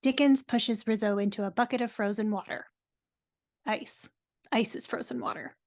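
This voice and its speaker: A woman reads stage directions for a live dramatic reading. Her voice is on the high side, heard over a video call. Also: steady tone, moderate pace. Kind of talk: scripted narration in a live reading.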